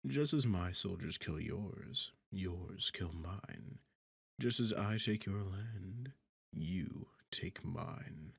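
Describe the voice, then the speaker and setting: A man's voice: low voice, very slow, no room sound, heavily acted. A man playing a sarcastic, seductive, arrogant demon king in an intimate ASMR fantasy roleplay, teasing and condescending as he talks. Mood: sardonic, firm. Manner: sardonic, condescending, teasing, smooth-talking. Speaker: audio roleplay performer.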